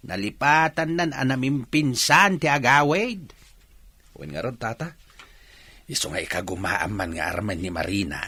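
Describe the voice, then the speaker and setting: A man's voice: mid-range voice, animated, normal speed, sharp tone, breathy edge. A man with an Ilocano accent playing a teasing, matchmaking father in a radio-style drama. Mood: jovial.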